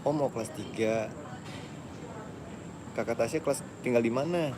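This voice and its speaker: A man's voice: mid-range voice, moderate pace. A young man, chatting casually as he reads live comments aloud. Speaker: live streamer. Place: outdoors at a busy tennis court. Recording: noisy outdoor spot, traffic-like background.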